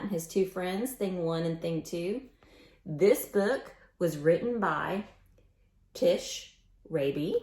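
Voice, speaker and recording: A woman reading aloud at storytime for young children in a sing-song manner, often asking questions and repeating lines. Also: lowish voice. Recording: roomy, echoing sound.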